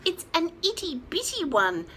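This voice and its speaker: A woman voicing small, excitable chickens in a children's storybook. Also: extremely high pitch, moderate pace, a little hiss or hum, voice pushed up, full character voice. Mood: chirpy.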